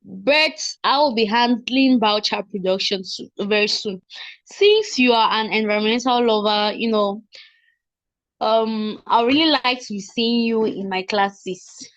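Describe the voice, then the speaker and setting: A woman's voice: on the high side, unhurried, fairly bright tone, no room sound. A woman from Cameroon, facilitating a webinar in a friendly, chatty way over a video call. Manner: friendly, chatty self-introduction. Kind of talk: casual webinar chat. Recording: dull lo-fi video call audio.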